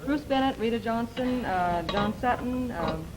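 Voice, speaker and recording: A woman's voice: a little high, expressive, a little slow, music in the background, low-quality recording. A woman contestant bantering casually and unscripted on an old television game show. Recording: old TV soundtrack, audience noise. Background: studio audience.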